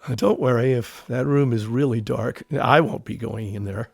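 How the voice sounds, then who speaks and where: An actor playing a grown war veteran, curious yet uneasy, with a plainspoken conversational manner in an audio drama. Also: male voice, mid-range voice, normal speed, creaky, darkish tone, no room sound, clean studio sound. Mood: curious, friendly.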